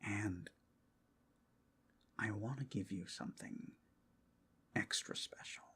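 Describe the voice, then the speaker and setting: A man playing an obsessive, lovesick captor in an intimate roleplay ASMR, halting and often whispering, both tender and unsettlingly intense. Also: fairly low pitch, exaggerated voice. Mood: creepy, doting. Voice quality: breathy, husky, often whispering. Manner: halting, swinging from hushed tenderness to giddy intensity. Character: obsessive, lovesick male captor, creepy and doting.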